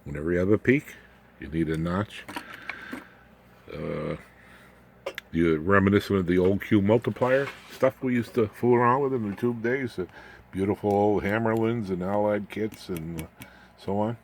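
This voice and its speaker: An older man giving a casual, unscripted walkthrough as a hobbyist presenter, in a folksy, halting way with wry asides. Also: lowish voice, slight background noise.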